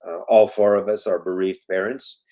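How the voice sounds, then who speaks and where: Earnest, lower-voiced man in his fifties. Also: level tone, normal speed, dark tone. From Colombia. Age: 50s. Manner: earnest, emphatic, repeats key points. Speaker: guest, foundation president. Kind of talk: casual interview talk.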